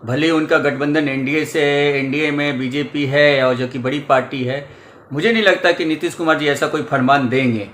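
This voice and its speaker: A man's voice: on the high side, steady tone, moderate pace, a bit bright. A man giving a spoken press comment as a political spokesman. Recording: roomy echo, faint background noise.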